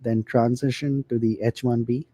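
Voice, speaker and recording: A man calling in to ask a question, speaking in a measured, matter-of-fact way. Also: medium pitch, little pitch movement, dark tone, husky. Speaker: caller. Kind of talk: call-in question.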